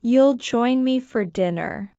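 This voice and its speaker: A woman language teacher slowly repeating scripted lines for shadowing practice, enunciating each one with care. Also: a little high, mellow, no room sound, dull audio. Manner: careful, deliberate enunciation.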